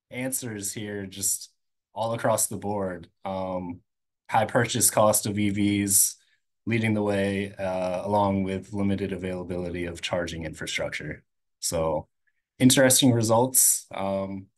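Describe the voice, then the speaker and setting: A man on a video call running a poll and reading results in an easygoing way. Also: fairly low pitch. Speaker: webinar staff running a poll. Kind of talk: casual announcing.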